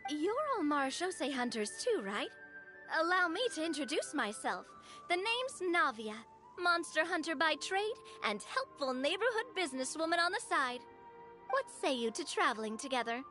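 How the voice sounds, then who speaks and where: A bubbly, confident young businesswoman from a video game, upbeat and encouraging. Her voice is high and very lively, with faint music behind it. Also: moderate pace, full character voice. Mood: introducing.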